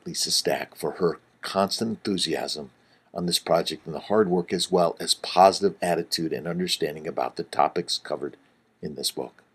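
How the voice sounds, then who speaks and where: A middle-aged man, a doctor, reading his own book aloud in an earnest, emphatic way, with wide swings in loudness. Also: deep voice, husky. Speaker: fertility doctor and author.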